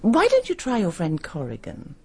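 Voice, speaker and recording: A woman's voice: medium pitch, huge pitch swings, normal speed, breathy edge, heavily acted. An actress with a British accent playing a cool, witty, condescending intellectual woman in a radio drama, arch and articulate. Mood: amused, breezy. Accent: British English.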